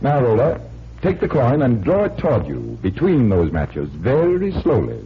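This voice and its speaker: An actor playing a confident magician detective, explaining patiently in 1940s radio style. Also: male voice, medium pitch, wildly swooping pitch, moderate pace. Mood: assured, instructive. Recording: muffled 1940s radio recording, faint hiss.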